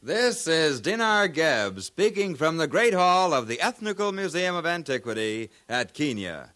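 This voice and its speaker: An actor playing an eager, courteous radio correspondent with earnest, polished delivery in a 1950s radio drama. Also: male voice, on the high side, sing-song, measured pace, a bit bright. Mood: eager, curious.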